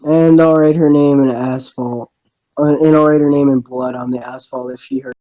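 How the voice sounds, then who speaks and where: A man doing an amateur dramatic reading of first-person narration and dialogue, halting and stumbling over his lines. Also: medium pitch, steady tone, dull audio. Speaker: reader voicing the protagonist of a fan visual novel.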